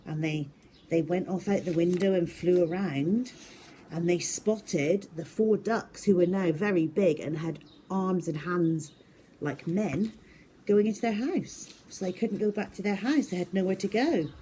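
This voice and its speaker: Slow-paced woman storyteller for children. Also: fairly low pitch, dark tone, a little hiss or hum, rough recording. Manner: addresses young listeners directly, emphatic. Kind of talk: expressive storytelling read aloud for children.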